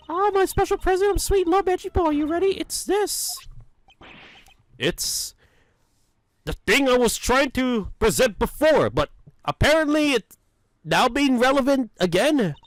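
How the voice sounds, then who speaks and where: A performer voicing a clingy, dramatic old woman in a fan dub of a game, shrill and gushing. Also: animated, unhurried. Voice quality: shrill, high and loud. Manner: gushing, scolding, rambling.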